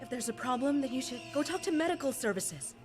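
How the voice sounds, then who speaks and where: A woman's voice: sits high, expressive, fast, soft background music. An actress playing a polite female emergency room nurse in a dubbed TV medical drama. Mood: placating.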